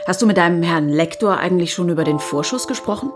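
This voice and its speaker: A woman's voice: on the low side, fast, over music, natural voice. A woman acting a wary, sardonic city woman in an audio drama, with a naturalistic, dryly ironic delivery. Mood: sardonic, skeptical.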